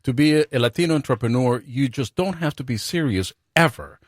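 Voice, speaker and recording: A man's voice: medium pitch, brisk, no room sound, clean studio sound. A middle-aged man hosting a talk show, playfully bantering, laughing often and stumbling over repeated words. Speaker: show host.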